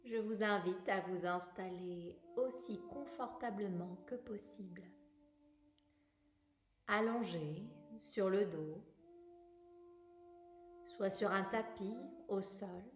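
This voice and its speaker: A woman guiding a yoga relaxation meditation in a calm, soothing, unhurried manner, leaving long pauses. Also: medium pitch. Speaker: yoga nidra guide. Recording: roomy echo, music bed. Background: music.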